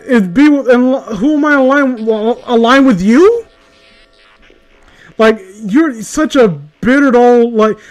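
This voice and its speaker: A man chatting casually in a blunt, opinionated way with plenty of swearing. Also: extremely high pitch. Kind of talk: casual chat.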